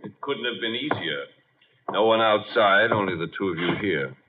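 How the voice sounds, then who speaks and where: A cold, menacing crook with a lowish voice. It is 1950s radio crime acting, heard thin and tinny. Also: male voice, expressive, normal speed. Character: cold, menacing male villain with revolver.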